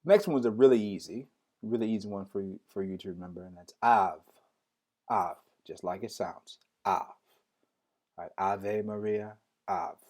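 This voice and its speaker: A man, speaking in a fairly low voice, leads a streamed lesson on Hebrew words. He says each one several times and spells it out slowly, letter by letter. He restarts phrases often and talks directly to the people watching. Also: a little mellow. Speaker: teacher leading an online Hebrew lesson. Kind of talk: live-streamed religious teaching.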